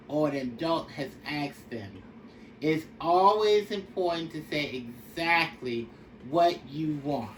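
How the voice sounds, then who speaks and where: A woman reading a picture book aloud for young children in a deliberate, instructive way. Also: low voice. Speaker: storybook reader. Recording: distant mic with faint background noise.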